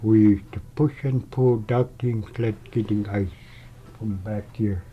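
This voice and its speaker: An older man from Wales, Alaska, recalling his childhood in a halting, plain-spoken way. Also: fairly low pitch, steady tone, slight background noise. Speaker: elder interviewee. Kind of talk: oral history recollection. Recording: slightly dull recording with faint music behind.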